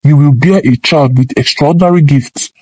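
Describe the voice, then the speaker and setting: A stern old village sage, as a woman puts him on, pushing her voice far below her own. He speaks in slow, solemn pronouncements with a husky edge, recorded close and dry like a storybook reading. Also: sits low, a little mellow, no room sound, dull audio, pitched below the performer's own voice.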